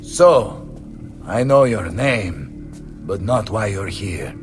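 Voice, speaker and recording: An actor playing a bold, blustering Renaissance mercenary captain with an Italian accent in a video game. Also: male voice, lowish voice, very animated, slow, faint music behind. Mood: hearty, earnest. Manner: blustering, theatrical. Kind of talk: video game cutscene acting.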